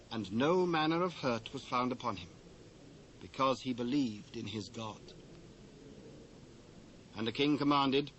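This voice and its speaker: Male narrator, British accent, medium pitch, measured formal scripture reading, faint cassette hiss. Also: a bit bright, highs cut off.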